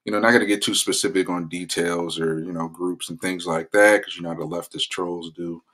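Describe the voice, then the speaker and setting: A man's voice: low voice, a little fast. A man hosting his own political commentary channel, talking loosely in a casual vlog promo with lots of fillers and restarts. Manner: relaxed, rambling with many fillers.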